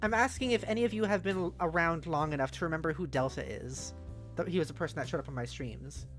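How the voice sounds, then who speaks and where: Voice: mid-range voice, fast talker, fairly bright tone. A streamer host chatting casually and animatedly with viewers on a livestream. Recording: music playing behind the voice.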